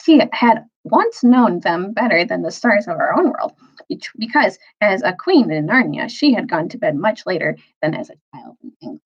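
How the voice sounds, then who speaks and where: A woman reads a children's novel aloud with lively, swooping intonation. Her medium-pitched voice is a little husky, and she phrases carefully for emphasis. The recording is slightly dull. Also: normal speed. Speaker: storyteller, teacher reading aloud.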